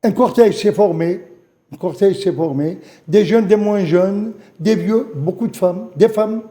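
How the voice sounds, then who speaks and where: An older man from Algeria giving a halting, emotional eyewitness testimony as a guest on a studio news program, repeating phrases as he recalls events. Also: high voice, warm tone. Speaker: witness guest. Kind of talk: spoken testimony in a studio interview.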